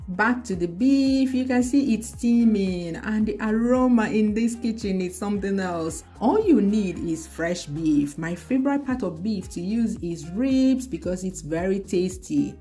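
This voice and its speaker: A woman, narrating a home cooking tutorial in a chatty, practical way with a touch of humor. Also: mid-range voice. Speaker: cooking channel host. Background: soft background music.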